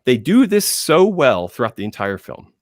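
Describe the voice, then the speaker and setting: A man speaking as a panelist in enthusiastic film talk, emphatic, with dramatic pauses and phrases repeated for effect. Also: mid-range voice, very animated, dry recording.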